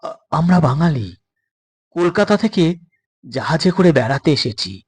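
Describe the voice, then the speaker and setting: A man plays a young runaway boy in a suspense audio story. He sounds earnest and wary, speaking plainly at an unhurried pace. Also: on the high side, sing-song, a little mellow, slightly rough, exaggerated voice.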